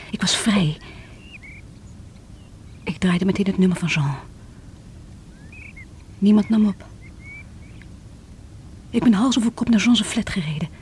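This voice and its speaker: An actress playing a distraught, lovelorn divorced woman who confesses bitterly in a theatrical, often hushed and breathy radio-drama delivery. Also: lowish voice, close to an everyday voice. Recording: noisy vintage radio play recording.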